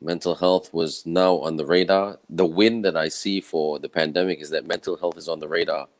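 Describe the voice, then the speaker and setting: A tired man with a croaky voice, speaking candidly on a video call and often restarting his sentences. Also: deep voice, steady tone, normal speed. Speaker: summit speaker. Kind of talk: personal talk on video call.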